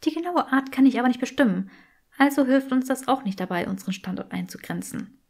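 Casting: curious, precise young witch for a fantasy audiobook, eager and easily exasperated, high voice performed by a woman narrator. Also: lively intonation, fast. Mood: matter-of-fact. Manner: precise, enthusiastic explaining.

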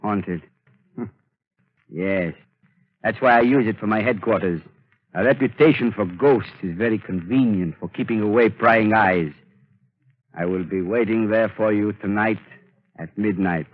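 A menacing mastermind villain. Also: male voice, lowish voice, no room sound. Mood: commanding. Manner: slow, menacing, measured. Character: scheming male mastermind bent on ruling the world. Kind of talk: 1930s radio drama acting. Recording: muffled old radio broadcast.

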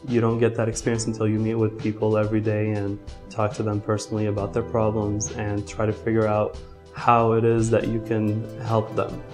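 A young man from Lebanon speaks earnestly to camera in an office, with a lowish, fairly flat voice. He smiles through his answers and stumbles on the odd filler. Soft music plays faintly underneath. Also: brisk. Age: 20s. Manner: earnest, smiling, with fillers and restarts. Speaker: law student volunteer. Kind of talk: interview to camera. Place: indoor office.

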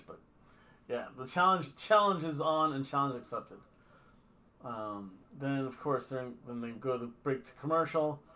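A man's voice: medium pitch, fairly bright tone, slight background noise. A middle-aged man giving casual, rambling, jokey commentary as a review host, recorded at a webcam in a home room. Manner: jokey, rambling, slips into mock accents. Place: small home room indoors.

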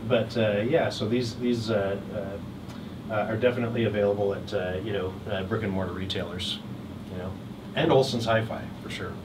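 A middle-aged man casually presenting products as a dealer, with a halting, hesitant delivery. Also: fairly low pitch, steady tone. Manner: halting, hesitant delivery with frequent long pauses. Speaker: hi-fi dealer exhibiting at a trade show. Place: hotel room at an audio show, indoors. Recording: distant mic, clearly noisy room.

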